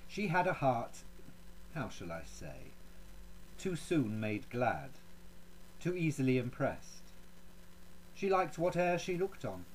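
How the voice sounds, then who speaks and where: A man performs as a proud Renaissance duke in a dramatic poetry recitation. His pitch moves widely through haughty, courtly phrases broken by pointed pauses, with cold menace beneath the politeness. There is faint noise behind the voice. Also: a little mellow, poor audio.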